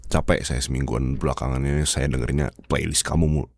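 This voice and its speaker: A man's voice: rumbling low, steady tone, quick pace, gravelly, no room sound, studio quality, exaggerated voice. An Indonesian man acting a stern, proud office boss running a fever, in a conversational ASMR roleplay with hesitant muttering. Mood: stern, commanding.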